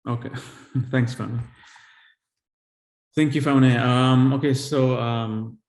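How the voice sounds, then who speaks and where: A man in his forties from El Salvador, an artist giving a live-streamed talk on his work, who speaks haltingly with many pauses and restarts. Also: mid-range voice, fairly even intonation, darkish tone. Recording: echoey room.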